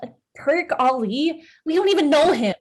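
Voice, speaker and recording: High-voiced worried, distrustful wife, acted by a woman. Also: lively intonation, normal speed, a little mellow. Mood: suspicious. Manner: anxious, questioning. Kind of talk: screenplay table read acting.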